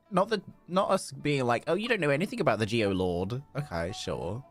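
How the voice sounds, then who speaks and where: A man's voice: medium pitch, sing-song, moderate pace, a bit bright, soft background music. A man livestreaming a video game, chatting casually and distractedly to viewers between reactions to play. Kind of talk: casual chat while playing a video game.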